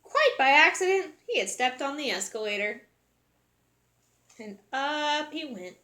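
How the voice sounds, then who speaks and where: High-pitched woman reading a children's story. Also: unhurried. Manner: expressive, dramatic emphasis. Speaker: storytelling teacher. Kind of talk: children's picture book read-aloud. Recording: echoey room.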